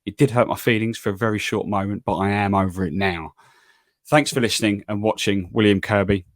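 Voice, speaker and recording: Deep-voiced English podcast host, dry sound. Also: male voice, brisk, no echo. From England. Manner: calm, even, self-deprecating. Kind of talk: solo podcast monologue and interview.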